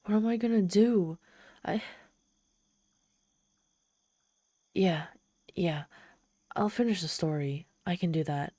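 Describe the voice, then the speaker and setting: A woman's voice: fairly low pitch, mellow, dry recording, clean studio sound. A woman playing a proud, easily flustered female demon in an ASMR roleplay, telling her story in a haughty, theatrical manner. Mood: dismayed, flustered. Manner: haughty, theatrical, halting when embarrassed.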